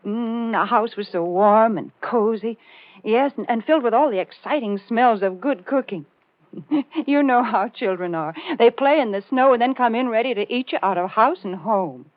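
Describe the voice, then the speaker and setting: A woman's voice: fairly high pitch, normal speed, dry recording. A woman doing a folksy, scripted 1940s radio commercial as announcer. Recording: muffled 1940s radio broadcast.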